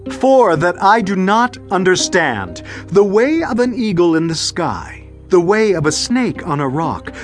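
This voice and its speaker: An actor playing a solemn ancient sage, reciting scripture in a theatrical, deliberate and emphatic manner in a dramatized audio Bible. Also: male voice, fairly high pitch. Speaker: Bible narrator and reader.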